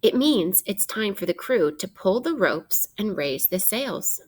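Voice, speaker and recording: Lady teacher doing a read aloud for little kids, slow pace, lots of up and down in her voice. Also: mid-range voice, breathy edge. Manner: expressive, instructive, pausing to put questions to young listeners. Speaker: teacher reading aloud to children. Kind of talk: interactive read-aloud of a children's nonfiction book.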